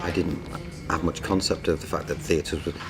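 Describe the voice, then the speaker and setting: Deep-voiced male playwright. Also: fairly even intonation, normal speed, vocal fry. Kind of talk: interview talk.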